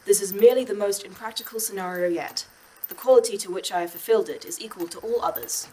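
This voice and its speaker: A woman playing a literal-minded, obliging artificial intelligence in an audio drama, formal and measured. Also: medium pitch, mellow, a little hiss or hum, lightly acted, low-quality recording. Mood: composed, precise. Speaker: voice actor in a fiction podcast.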